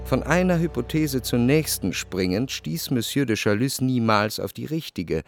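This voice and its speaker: Measured male narrator over faint music. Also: medium pitch, animated. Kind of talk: scripted literary narration in radio drama.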